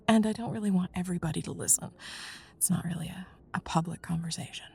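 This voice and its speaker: A woman playing a young student in love with her best friend, in an intimate ASMR roleplay, speaking softly and hesitantly, often near a whisper. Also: on the low side. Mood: nervous, hopeful. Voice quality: soft breathy, husky, often near whisper. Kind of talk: intimate ASMR roleplay, one side of a dialogue.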